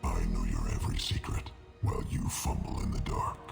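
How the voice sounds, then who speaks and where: An actor playing a huge, cold, arrogant alien crime lord in a video game, with a gravelly growl and formal, menacing delivery. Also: male voice, lightly acted. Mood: arrogant menace. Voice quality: deep monstrous gravelly growl. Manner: formal, pompous, measured menace. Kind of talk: video game cutscene acting. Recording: music behind the voice.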